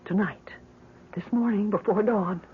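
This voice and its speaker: A woman's voice: medium pitch, a little slow, husky, phone-quality sound. A woman playing a desperate, grieving mother in an old-time radio drama, with theatrical, imploring intensity, on a vintage recording. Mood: insistent, resolute. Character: desperate grieving mother pleading with a dark Master.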